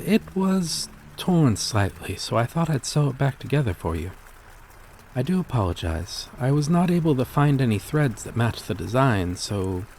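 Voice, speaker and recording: A man's voice: medium pitch, a little mellow. Man playing a courteous vampire, noisy ambience. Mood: gentle, apologetic. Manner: formal, polite, hesitant, apologetic. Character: courteous, gentle male vampire stranger, kindly and old-fashioned. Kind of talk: intimate ASMR roleplay acting.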